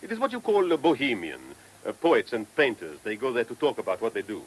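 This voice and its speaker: Courtly Austrian police commissioner, lively. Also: male voice, medium pitch, fast talker. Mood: genial, chatty. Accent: Austrian German. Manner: talkative, courtly, formal foreign English phrasing. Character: genial, courteous Viennese police commissioner who loves food. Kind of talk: radio play acting. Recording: noisy old radio drama recording.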